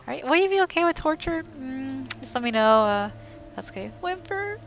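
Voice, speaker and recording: A woman's voice: sits high, big pitch swings, normal speed, muffled recording, faint music behind. A woman casually commentating on a game as she plays, muttering wry reactions. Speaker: let's-play host.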